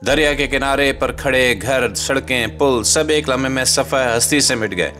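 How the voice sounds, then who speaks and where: A man's voice: medium pitch, moderate pace, sharp tone. Solemn Pakistani man narrating over music. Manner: solemn, rhetorical, emphatic. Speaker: host and narrator of a commentary channel. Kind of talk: scripted dramatic documentary voice-over. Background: background music.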